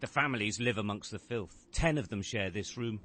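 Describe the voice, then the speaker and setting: A man reporting as a news correspondent in a measured, grave tone, narrating scripted news. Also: fairly low pitch. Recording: outdoor location with loud background noise.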